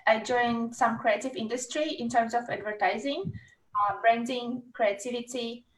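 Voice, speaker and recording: A woman's voice: a little high, fairly even intonation, normal speed, slight background noise. A young woman from Uzbekistan, a university staff member, speaking hesitantly with many fillers on a video call. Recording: echoey room heard from far off.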